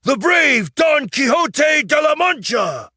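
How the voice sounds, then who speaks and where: A squeaky, gravelly, frantic squire. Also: male voice, big pitch swings, takes it slowly, no room sound, clean studio sound, heavily acted. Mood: excited pleading. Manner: eager, shouting pleas. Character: excitable anxious male squire, servant. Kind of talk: storybook reading.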